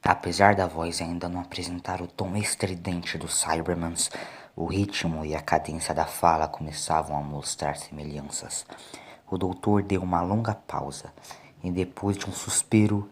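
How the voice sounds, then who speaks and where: A man's voice: deep voice, fairly even intonation, moderate pace, slightly rough. A man with a Brazilian accent reading a scripted dramatic story as narrator. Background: faint music.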